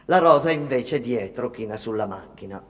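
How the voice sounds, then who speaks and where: A woman's voice: a bass voice, expressive, moderate pace. An actress playing a fretful, disapproving immigrant seamstress, telling her story in a conversational theatrical monologue. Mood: subdued, ominous. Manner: conversational, repeating words, mimicking others. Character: Italian immigrant factory seamstress, disapproving, fretful mother's confidante. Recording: long reverberant hall.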